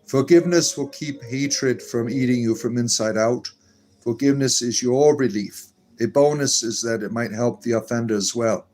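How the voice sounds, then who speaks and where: A man's voice: mid-range voice, normal speed, a little mellow. A man hosting a live stream, reading viewer comments aloud and answering them in a meandering, chatty way. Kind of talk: casual live-stream chat.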